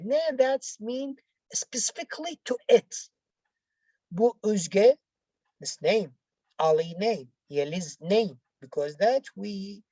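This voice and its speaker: Casting: female language teacher, non-native English speaker, unhurried and halting, soft-edged mellow tone, walking learners through exercises. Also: fairly low pitch, no echo, muffled recording. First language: not English. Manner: halting, repetitive, explaining step by step. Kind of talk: bilingual lesson, reading out exercises and explaining answers.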